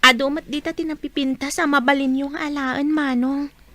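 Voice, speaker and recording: A woman's voice: high-pitched, fairly even intonation, normal speed, a bit bright, no room sound. A woman playing a shy, modest young student in a radio drama, speaking in a hesitant, questioning way.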